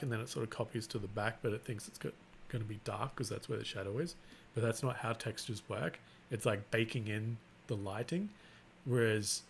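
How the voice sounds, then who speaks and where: Gravelly man chatting on a live stream. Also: fairly low pitch, a little hiss or hum. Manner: self-described monotone, rambling with restarts. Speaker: live-stream host and content creator. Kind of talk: casual live-stream chat.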